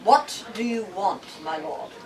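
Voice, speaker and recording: A composed, authoritative fantasy king, voiced by a woman reader, speaking in a measured and regal way. The delivery is formal and firm, picked up from slightly off the microphone. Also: mid-range voice, animated, recorded from a distance, crowd noise, natural voice. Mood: calm, firm. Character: calm, authoritative young reforming king.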